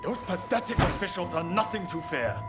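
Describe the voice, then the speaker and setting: A man's voice: high-pitched, expressive, brisk, over loud music. A man playing a hot-headed, impatient samurai rebel in a video game cutscene. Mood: impatient, scornful.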